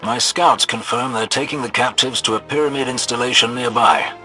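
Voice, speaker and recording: Crisp, bright veteran commander. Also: male voice, mid-range voice, fairly quick, soft background music. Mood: measured concern. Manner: polite, wry, old-fashioned turns of phrase. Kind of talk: scripted video game dialogue.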